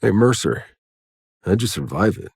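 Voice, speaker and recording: A man playing a gruff, wry, battle-worn soldier in a sci-fi video game. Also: fairly low pitch, expressive, normal speed, dry recording, studio quality, heavily acted. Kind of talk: video game voice lines, dramatic acting.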